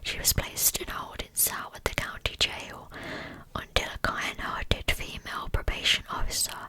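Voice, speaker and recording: A woman's voice: bright, forceful tone, no echo, low-quality recording. Woman whispering a true-crime ASMR narration. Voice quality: breathy pure whisper.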